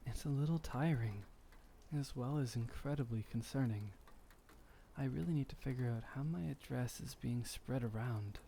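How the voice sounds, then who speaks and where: A man playing a gentle, melancholy, centuries-old vampire painter in an intimate ASMR roleplay, soft-spoken and musing, with formal old-fashioned phrasing. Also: mid-range voice, normal speed, vocal fry, a little hiss or hum, natural voice. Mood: pensive, mildly weary.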